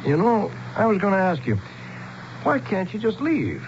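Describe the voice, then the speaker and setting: Bewildered outsider, noisy radio recording. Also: male voice, high-pitched, huge pitch swings, normal speed, heavily acted. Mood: anxious, questioning. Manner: questioning, incredulous, hesitant. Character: bewildered male outsider stranded in a strange town. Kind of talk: radio drama acting. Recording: dull, noisy old radio broadcast.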